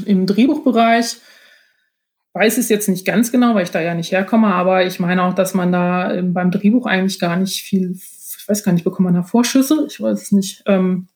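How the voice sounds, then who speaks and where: A woman's voice: on the low side, a little mellow. Woman explaining things in an informal interview. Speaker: podcast guest, author.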